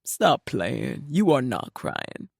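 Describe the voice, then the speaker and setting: A woman voicing a gentle older widowed father speaking softly and tenderly to his daughter. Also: very animated, slow pace, creaky, slightly rough, no echo, studio quality, exaggerated voice. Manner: soft, low, tender.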